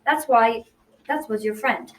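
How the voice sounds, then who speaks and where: A woman's voice: on the high side, measured pace, fairly bright tone. A school-age girl playing a kindly, gracious enchanted lady of the forest in an amateur radio drama. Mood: joyful, gracious. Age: child about 12 to 13. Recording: echoey room, distant mic, faint noise.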